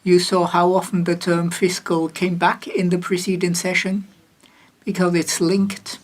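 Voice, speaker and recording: Flat-voiced, low woman interpreter. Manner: measured, with occasional restarts and self-corrections. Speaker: conference interpreter. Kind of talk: simultaneous interpretation of a panel chair's remarks.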